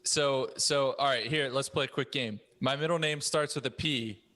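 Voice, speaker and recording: A man's voice: mid-range voice, normal speed, bright, forceful tone, long reverb tail. A man hosting a casual podcast roundtable chat.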